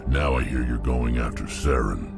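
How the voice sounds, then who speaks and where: An actor voicing a huge, gruff alien bounty hunter in a video game, gravelly, blunt and menacing. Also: male voice. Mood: proud, gruff. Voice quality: gravelly, rumbling. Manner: terse, blunt, slow menace. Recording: game audio under loud music.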